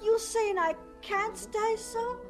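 Earnest, high-pitched Southern boy. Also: fairly even intonation, takes it slowly, music in the background, full character voice. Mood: timid, earnest. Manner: pleading, respectful. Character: earnest, eager, polite young boy, poor and determined. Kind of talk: radio drama acting.

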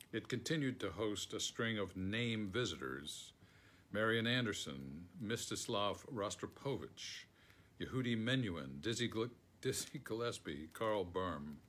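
An older American man reading a written foreword aloud in a measured manner, recorded indoors on a phone. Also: fairly low pitch, a bit bright. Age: older, around 70. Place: book-lined room at home, indoors.

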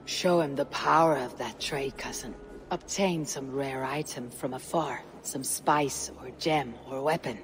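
A woman's voice: extremely low pitch, unhurried, soft background music. A woman playing a bold, blunt, resolute female Viking warrior in a dramatic video game cutscene. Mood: firm, resolute. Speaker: game protagonist.

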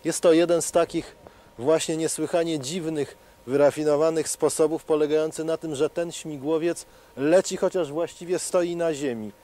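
A middle-aged man explaining helicopter testing as a TV presenter, in a measured way with frequent hesitations. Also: a little high, fairly even intonation, warm tone. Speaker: TV science presenter. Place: outdoors at a helicopter test stand.

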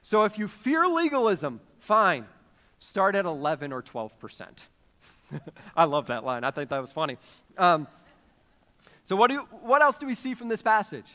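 A man's voice: high-pitched, wildly swooping pitch, moderate pace, pauses often, bright, forceful tone. An American man in his thirties preaching as a pastor in a conversational yet emphatic way, slipping into casual storytelling from a church stage. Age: 30s. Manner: conversational, emphatic, checking in with "okay?" and "right?". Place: large church auditorium stage. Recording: long hall reverb.

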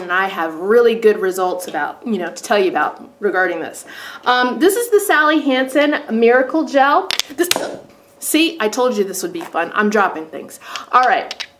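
A woman in her thirties with a cold and a congested voice, chatting casually through a beauty product review from a sunroom at home, speaking to a camera on a tripod, animated and full of self-deprecating asides. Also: medium pitch, normal speed, slight background noise. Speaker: beauty vlogger. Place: bright sunroom at home, indoors. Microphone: camera on tripod facing her.

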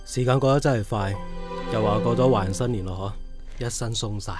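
Prominent music plays behind an actor as a devoted husband and father. His deep voice is reassuring, in the manner of a radio drama. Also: brisk, natural voice. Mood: casual.

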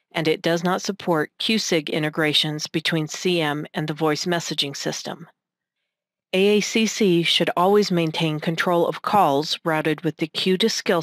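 A woman reading scripted narration for a technical demonstration in a measured, instructional manner. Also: deep voice, no echo, clean studio sound. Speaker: software tutorial narrator.

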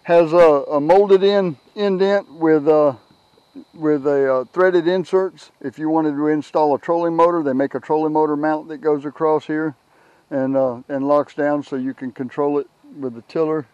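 A middle-aged man giving a casual, unscripted product walkthrough outdoors on a camera mic, halting and repeating words as he goes. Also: a little high, steady tone, darkish tone, clean tone, no room sound, highs cut off. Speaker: product reviewer, kayak owner. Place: outdoors on a grassy yard. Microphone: handheld camera's built-in microphone.

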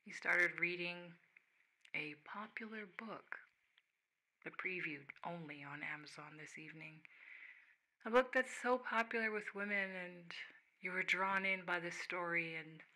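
Soft, bright-voiced woman in ASMR monologue. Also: lowish voice, measured pace, rough recording. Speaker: ASMR host speaking as the listener's higher self.

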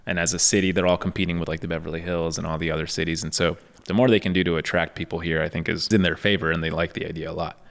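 A low, gravelly male voice with an easy, chatty delivery, full of filler words as he tells the story. He talks into a boom-arm studio mic, and a long reverb trails behind him. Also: racing, hardly any pauses. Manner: relaxed storytelling with many "like" fillers. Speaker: podcast host. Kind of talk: casual podcast conversation. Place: podcast studio in a storefront building. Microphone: boom-arm studio microphone.

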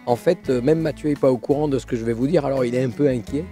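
A man in his sixties with a hoarse voice from laryngitis, hosting a car show and telling a story casually to camera, with many fillers and restarts. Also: medium pitch, quick pace, mellow, faint music behind. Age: 60s.

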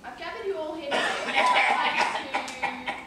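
Very high, flustered nurse played by a woman. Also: slow. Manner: flustered, officious. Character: flustered, officious care home nurse. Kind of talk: amateur stage comedy acting. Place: large theatre stage, far camera. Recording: distant, echoey, noisy theatre.

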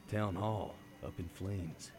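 An actor playing a world-weary, hard-drinking detective in a noir radio play, with wry, understated delivery. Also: male voice, low-pitched, steady tone, slow. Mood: wry, brooding. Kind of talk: noir radio drama narration.

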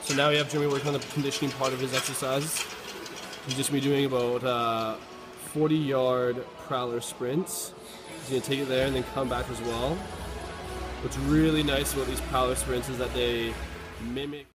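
A man's voice: mid-range voice, fairly even intonation, moderate pace. A man working as a fitness coach, giving casual, plain-spoken instructional commentary on exercises. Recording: loud background music. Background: loud music.

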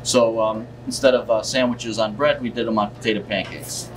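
Man in his thirties, distant, over loud hum. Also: fairly low pitch, fairly even intonation, normal speed. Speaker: deli owner being interviewed. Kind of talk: casual interview answers. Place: indoors in a deli, seated at table. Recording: distant mic, loud hum of air conditioning.

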